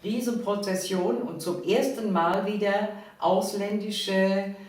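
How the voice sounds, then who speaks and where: A woman's voice: mid-range voice, steady tone, slow pace, a little mellow. A woman interviews in a conversational way, interjecting and searching for her words. She sounds a little far from the microphone in a strongly reverberant room. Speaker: host, interviewer. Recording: echoey room, distant microphone.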